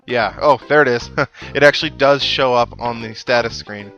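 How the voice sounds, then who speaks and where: A man's voice: mid-range voice, normal speed, creaky, fairly bright tone, lo-fi sound. A young man giving casual, rambling, self-deprecating gameplay commentary with video game music behind him. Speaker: video game let's player.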